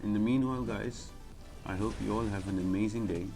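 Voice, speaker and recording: A man's voice: on the low side, brisk, music in the background. A man giving a casual, step-by-step video game walkthrough, explaining things directly to the viewers. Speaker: host of a gaming guide channel.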